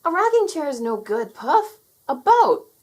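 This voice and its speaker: A woman's voice: sits high, very animated, unhurried, darkish tone, pitched above the performer's own voice. A woman voicing an earnest, unsure young boy in a children's storybook, plaintive and wide-eyed. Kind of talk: children's storybook character read aloud.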